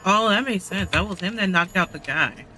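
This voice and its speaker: A man giving casual gaming commentary in a halting, musing way. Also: high-pitched, sharp tone, faint music behind. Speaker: gaming streamer.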